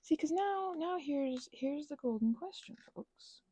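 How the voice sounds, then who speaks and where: A woman rambling casually as she thinks aloud over a craft, halting and murmuring to herself, with playful asides to listeners. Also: high voice, animated, mellow, no room sound. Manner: halting, drifts into murmurs and self-talk. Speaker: ASMR and audio creator.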